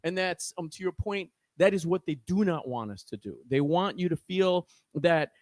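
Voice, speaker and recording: A man's voice: high voice, moderate pace, darkish tone, no room sound, studio quality. A man with a New York accent speaking as a guest organizer in an impassioned podcast discussion, earnest and preachy, often restarting his words.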